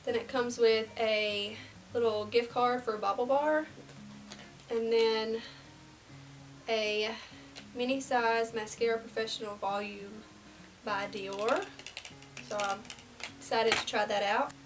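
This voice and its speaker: A woman's voice: on the high side, steady tone, slow, long pauses, distant mic, faint music behind. Chatty young woman, slightly nasal. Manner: casual and rambling, drops to near-whispers while applying makeup. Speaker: beauty vlogger. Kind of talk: chatty get-ready-with-me vlog. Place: small indoor room before a plain backdrop.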